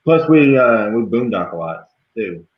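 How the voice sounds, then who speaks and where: A man's voice: lowish voice, sing-song, measured pace. A man from Georgia chatting casually as a guest. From Georgia, United States. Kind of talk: casual chat. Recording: echoey, dull video-call audio.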